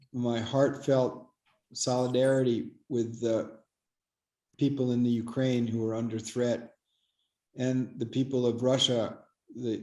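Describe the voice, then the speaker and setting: A man giving earnest, oratorical remarks as a guest expert, solemn and deliberate, repeating words for emphasis. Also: mid-range voice, a little mellow. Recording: echoey room.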